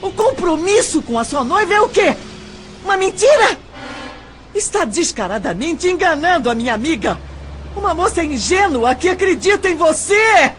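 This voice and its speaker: A woman's voice: high voice, a little hiss or hum. An actress playing an earnest, virtuous, proud young shop girl in a melodramatic audio drama, with a Brazilian accent. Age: young adult.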